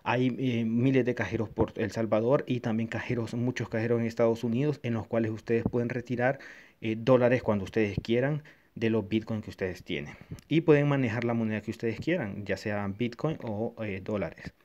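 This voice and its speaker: A man's voice: mid-range voice, fairly even intonation, normal speed, a little mellow, dull audio. A man from Honduras giving a casual, hesitant review of a wallet app over a screen recording, with many fillers and restarts. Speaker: tech reviewer.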